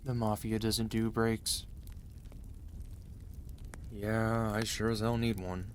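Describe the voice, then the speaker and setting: A man acting out a weary, protective mafia boss husband in an ASMR roleplay, commanding and dry yet gentle and intimate. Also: lowish voice, level tone, slow, slight background noise. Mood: tired, softening. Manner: tired, authoritative, dry, intimate.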